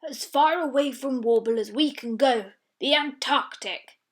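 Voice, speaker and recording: A woman's voice: high-pitched, measured pace. Woman voicing a big bossy bellowing walrus. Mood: stern, commanding. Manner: bellowing, stern orders. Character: big fat bossy male walrus, gruff leader.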